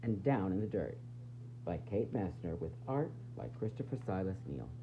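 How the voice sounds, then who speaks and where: A man reading a children's picture book aloud in an expressive, dramatic, sing-song way, with varied emphasis. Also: low-pitched, normal speed. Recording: dull lo-fi sound, faint noise and hum.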